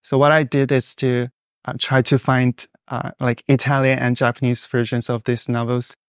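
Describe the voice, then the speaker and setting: A young man speaks in a smooth, clear voice that stays flat and even in pitch. He answers interview questions thoughtfully, with many small pauses and fillers. The sound is dry and close. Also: no room sound. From China. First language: Mandarin Chinese. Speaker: guest.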